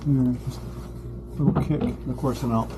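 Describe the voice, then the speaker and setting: A man's voice: mid-range voice, fairly even intonation, a little fast, very noisy. A man thinking aloud as he works through a boat repair, in casual DIY narration. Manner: thinking aloud while working. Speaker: boat owner doing a repair. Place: on a small boat.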